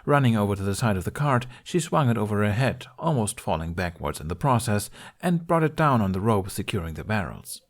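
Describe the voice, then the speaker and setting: A man's voice: fairly low pitch, big pitch swings, a little fast, hardly any pauses. A man narrating an adventure story in a scripted, audiobook style. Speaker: podfic narrator.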